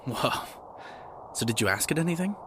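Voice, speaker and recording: An actor playing an earnest, bookish, kind young man in an audio drama, with a gentle, lightly teasing manner. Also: mid-range voice, big pitch swings, moderate pace, background noise, natural voice. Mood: curious, concerned. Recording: music bed under the voice.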